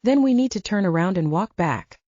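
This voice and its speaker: A woman doing playful male soldier, teasing, coaxing, lively intonation, slightly husky, casual audiobook banter. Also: mid-range voice, fairly quick, no echo, highs cut off, lightly acted. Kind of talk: dramatized audiobook dialogue.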